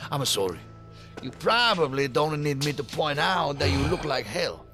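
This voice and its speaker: An actor playing an apologetic, courteous officer in an audio drama, chatty and polite with slightly hesitant phrasing. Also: male voice, a little high, big pitch swings, measured pace, sharp tone, soft background music, exaggerated voice. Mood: teasing, amused. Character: apologetic, courteous male law officer greeting visiting agents.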